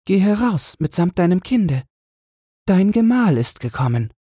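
A man voicing a gentle, solemn young female angel in a fairy tale audiobook. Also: high voice, sing-song, unhurried, slightly rough, no echo, clean studio sound, voice pushed up, full character voice. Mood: gentle, welcoming.

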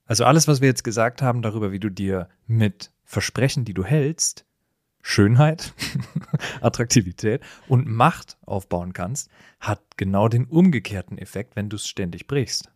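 A man's voice: lowish voice, moderate pace, darkish tone, dry recording, clean studio sound. A man co-hosting a casual, comedic discussion, with a teasing, bantering manner. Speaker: podcast co-host.

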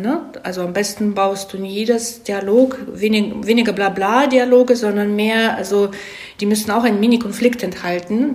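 A woman's voice: medium pitch, a little mellow. Woman explaining casually in an echoey room. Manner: explains with frequent check-in tags. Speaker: screenwriter being interviewed about storytelling craft. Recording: roomy echo around the voice.

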